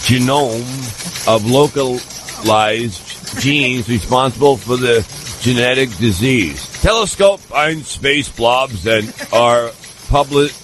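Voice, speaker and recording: A man reads mock news for a comedy show at a slow pace, tripping over words and repeating them. His delivery is halting and clumsy, with mid-range pitch, and clear background noise sits behind his voice. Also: fairly bright tone, poor audio. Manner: halting, stumbling reading with mispronunciations. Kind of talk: mock newscast read aloud.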